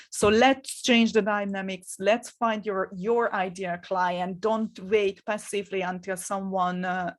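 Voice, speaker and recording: A middle-aged woman from Hungary speaks English with a Hungarian accent, and her voice carries a gravelly fry. She coaches in an upbeat, encouraging way, restarting phrases and filling gaps with "uh". Her pitch sits in the ordinary middle range for a woman. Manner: energetic, encouraging, repeats words and fills pauses with uh. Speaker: marketing coach. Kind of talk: motivational webinar talk.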